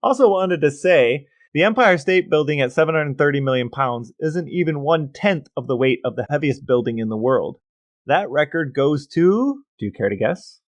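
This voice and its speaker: A man's voice: on the high side, lively intonation, moderate pace, no echo. A man co-hosting a casual podcast, explaining facts and figures at length with some banter. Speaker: podcast co-host.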